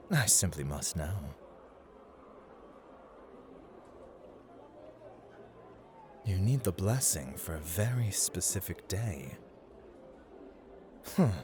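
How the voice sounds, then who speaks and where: Casting: male actor for a playful, condescending gambling god in audio roleplay; low voice, unhurried and dramatic, dipping into whispers. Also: a bit bright, a little hiss or hum, exaggerated voice. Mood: amused, curious. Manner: theatrical, teasing, formal, condescending, drifting into whispers. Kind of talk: ASMR roleplay, scripted dramatic monologue.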